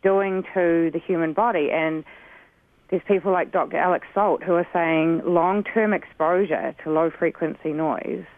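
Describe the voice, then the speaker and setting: A man's voice: a little high, steady tone, measured pace, no echo. A man from New Zealand with a New Zealand accent, speaking earnestly and with frustration as a community spokesperson calling in to a radio show. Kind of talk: earnest phone-in interview. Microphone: phone. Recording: tinny phone line.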